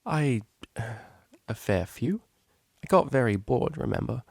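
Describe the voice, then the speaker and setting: A man's voice: mid-range voice, very animated, takes it slowly, gravelly, husky, no room sound, exaggerated voice. A man voicing a prim, naive young female cellist pony, studious and earnest, with precise, bookish speech.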